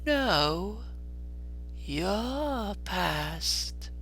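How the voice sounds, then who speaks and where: A man voicing a gentle, solemn, ageless Christmas spirit in a soft, airy voice, reading a storybook dramatically. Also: mid-range voice, sing-song, creaky, a little hiss or hum, pitched above the performer's own voice, exaggerated voice. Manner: slow, drawn-out, stately delivery.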